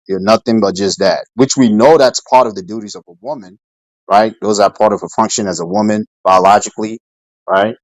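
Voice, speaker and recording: A man moderating an online discussion room, hesitant and filling pauses with "um" and "right?". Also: lowish voice, no room sound, low-quality recording. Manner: hesitant, full of fillers and "right?". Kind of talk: casual moderating and commentary.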